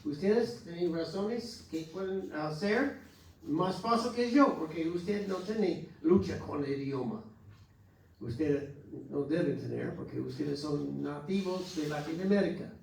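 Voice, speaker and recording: American pastor preaching in an echoey hall. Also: male voice, fairly high pitch, animated, gravelly, a little mellow, slight background noise. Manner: earnest, exhorting with halting restarts. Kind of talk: Sunday school teaching. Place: church hall. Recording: echoey church hall from far off.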